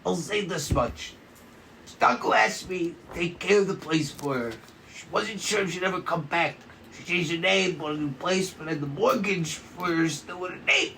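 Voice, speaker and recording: A man's voice: high voice, normal speed, creaky, sharp tone, a little hiss or hum, heavily acted, rough recording. A man playing a timid ex-security guard, speaking in plain colloquial terms in a cartoon script table read. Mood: guarded, confiding. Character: timid, husky male ex-security guard, cooperative informant. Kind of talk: animated series table read.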